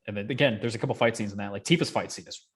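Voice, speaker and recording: A man's voice: on the low side, rapid-fire, husky. A young man chatting casually as a podcast co-host, blunt and opinionated, with plenty of swearing. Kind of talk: casual chat.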